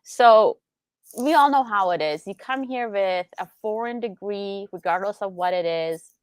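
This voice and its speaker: A woman's voice: medium pitch, measured pace, smooth, no echo. A young adult woman from Iran, a business attorney, explaining in casual interview chat with lots of rhetorical questions.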